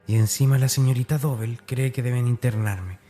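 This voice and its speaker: An actor playing a tormented schoolboy with a dark streak, confessing to his diary in a brooding, intimate horror audio drama monologue. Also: mid-range voice, fairly even intonation, fairly quick, music in the background, close to an everyday voice. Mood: bitter, defensive.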